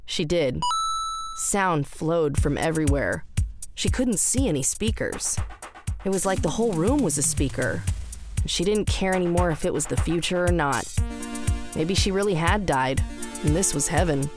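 A woman's voice: lowish voice, lively intonation, normal speed. A woman reading scripted story narration for audio fiction. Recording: roomless dry studio, faint music underneath. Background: faint music.

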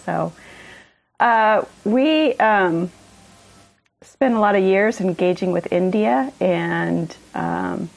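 A woman speaks slowly, pausing with ums between phrases. Her lowish voice has a gravelly edge of vocal fry. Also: lively intonation. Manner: slow, halting, with fillers. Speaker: guest. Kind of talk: personal introduction in conversation.

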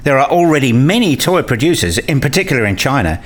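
A man's voice: mid-range voice, very animated, fast. A man playing an inquisitive hobbyist in a radio play, questioning and reasoning aloud.

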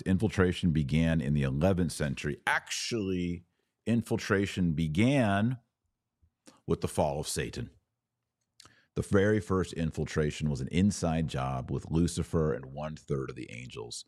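A man's voice: rumbling low, moderate pace, a little mellow, no echo, clean studio sound. A man hosting a live religious commentary show, speaking earnestly and emphatically and repeating phrases for stress. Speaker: podcast host answering live viewer comments.

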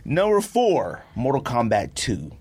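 Animated, slangy man on a podcast. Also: medium pitch, unhurried, slightly rough. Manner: slangy, emphatic, repeats phrases for emphasis. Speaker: podcast co-host counting down a list. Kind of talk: casual, opinionated podcast chat.

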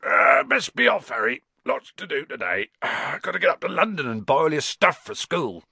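Male narrator as gruff giant, storybook character, folksy clipped talk, loyal, lively swooping pitch, dry close sound. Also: moderate pace, dry recording, exaggerated voice. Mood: yawning, gruff. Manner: folksy, clipped casual speech, grunting and sleepy at first. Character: gruff, good-natured giant man, proud and loyal.

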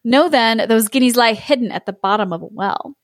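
A woman voicing a sly, melodramatic male cat in a storybook read-aloud, mournful and drawn-out in manner. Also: a little high, no echo, clean studio sound, close to an everyday voice. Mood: sly, resigned.

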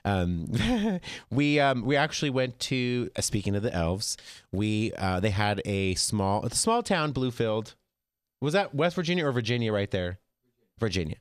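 A man with a hoarse voice hosting a casual studio talk show, chatting and laughing often. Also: medium pitch, sing-song, unhurried, dry recording. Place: studio with a small live audience. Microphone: mic'd up in studio.

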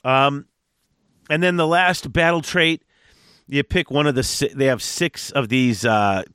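A man's voice: medium pitch, unhurried, fairly bright tone, smooth, no room sound, studio quality. A congested man co-hosting a casual tabletop gaming chat, reading rules aloud in eager bursts. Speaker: podcast co-host.

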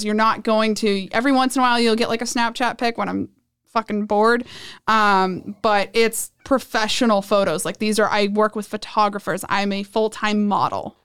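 A woman from Puerto Rico chats as a podcast guest in a smooth, clear voice that sits a little high. She talks frankly and in a laid-back way. She spells things out slowly when she needs to. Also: a bit bright. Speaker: guest model. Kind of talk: casual podcast chat.

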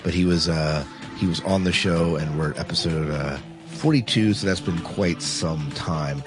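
A man's voice: low voice, moderate pace, over music. A man hosting a podcast in a casual, informal, rambling way. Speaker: podcast host.

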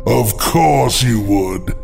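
A man's voice: mid-range voice, expressive, very slow, vocal fry, darkish tone, soft background music, heavily acted. A man playing a gleeful, mocking ghoul of a horror host, announcing in a theatrical, taunting singsong. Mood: sly, gleeful. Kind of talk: scripted macabre announcement with puns.

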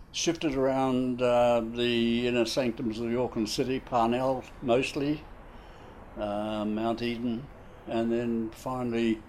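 A man in his nineties with a New Zealand accent, recalling his life in an interview, slow and halting with many fillers. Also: medium pitch, darkish tone, a little hiss or hum. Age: 90s. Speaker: interviewee, war veteran. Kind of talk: casual reminiscing interview.

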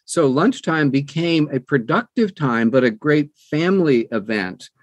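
A man's voice: a little high, animated, measured pace, dry recording. An older man, an audiobook narrator, talking conversationally as an interview guest and telling anecdotes.

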